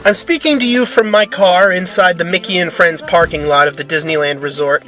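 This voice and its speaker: A man's voice: high voice, brisk, music in the background, rough recording. A man ranting to camera from inside a car, exasperated, emphatic and sweary. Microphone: camera pointed at himself.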